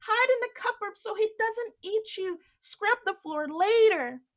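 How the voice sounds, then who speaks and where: Lady doing a bossy old-tale giant wife, shrill n forceful, swoopy pitch. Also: animated, unhurried. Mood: urgent.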